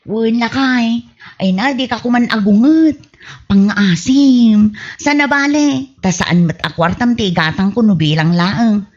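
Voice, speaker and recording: A woman playing an excitable, bickering, scatterbrained wife in an Ilocano radio comedy, exclaiming often in an Ilocano Filipino accent. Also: fairly high pitch, unhurried, highs cut off. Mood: defensive. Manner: exclaiming, animated.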